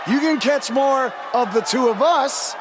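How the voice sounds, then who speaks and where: An actor playing a cocky, brash young indie wrestler and needling prankster in a video game cutscene, with a goading manner. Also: male voice, extremely high pitch, a little slow. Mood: cocky taunting. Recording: noisy arena, clear crowd behind.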